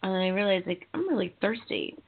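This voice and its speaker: A woman's voice: mid-range voice, lively intonation, brisk, husky. A woman in her thirties chatting casually as a host, candid and self-deprecating as she tells everyday stories. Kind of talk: casual chat. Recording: dull phone-like audio.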